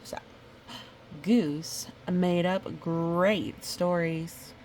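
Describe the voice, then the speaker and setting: A woman reading a picture book aloud in a chatty, expressive way, with casual asides. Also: lowish voice, very slow, a little hiss or hum. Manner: chatty, expressive storytelling with playful sound effects. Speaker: storybook reader.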